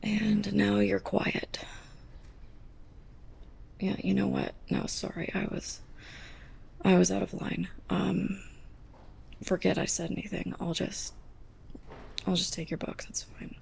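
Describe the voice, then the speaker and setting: Woman acting a creaky, hushed, lovestruck library assistant. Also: medium pitch, flat delivery, heavily acted. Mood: embarrassed. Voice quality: soft, breathy, often near a whisper. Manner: halting, awkward, self-conscious. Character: sarcastic, lovestruck young woman librarian's assistant, prickly and flustered. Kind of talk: ASMR roleplay, intimate monologue to the listener.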